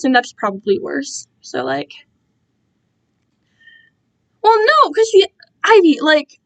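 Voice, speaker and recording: High-voiced young woman chatting casually. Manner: animated, self-interrupting, quotes herself and others dramatically. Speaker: livestream host and amateur fan fiction reader. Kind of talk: casual rambling livestream chat.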